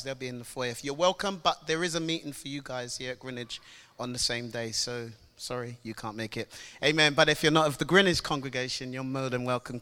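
A man in his fifties preaching a sermon as a guest pastor. He moves between loud, fervent worship calls and chatty storytelling with laughs. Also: mid-range voice. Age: 50s.

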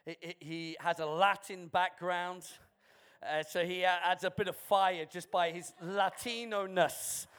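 A man's voice: high voice, fairly even intonation, a little slow, fairly bright tone, clear, resonant tone. A man, the church's pastor, introducing a guest preacher and rallying the congregation to welcome him. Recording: faint crowd in a church.

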